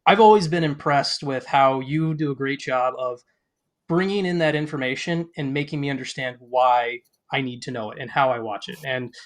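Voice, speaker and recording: A man's voice: mid-range voice, moderate pace. A man hosting a sports podcast, asking long-winded interview questions that he rephrases as he goes. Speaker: podcast host.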